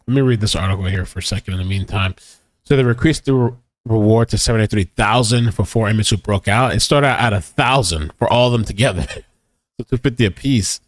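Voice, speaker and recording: A man's voice: fairly low pitch, dry recording. A man hosting a live stream, commenting casually on news and reading articles aloud in a rambling, informal way. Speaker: streamer host reacting to news.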